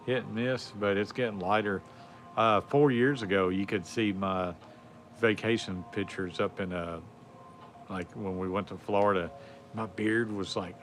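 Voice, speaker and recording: A man's voice: fairly low pitch, slight background noise. A man, chatting casually on a livestream in a rambling, laid-back way with lots of fillers. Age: 40s. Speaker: livestream host. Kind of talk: casual chat.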